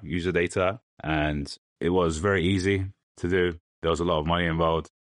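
Young guy, super deep low voice, dry close sound, just talking it through plainly on a podcast. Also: a bit bright, no echo. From London, England. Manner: plain, matter-of-fact explaining with loose restarts. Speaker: guest. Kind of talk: podcast interview answers.

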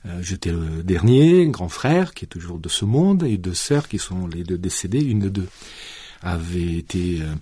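A deep-voiced man with a southern French accent talks reflectively as a radio guest. His voice is husky and close to the mic in a dry studio. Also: animated, normal speed, mellow, dry recording. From southern France. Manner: reflective, many hein and absolument. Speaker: guest, student centre director. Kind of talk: radio interview.

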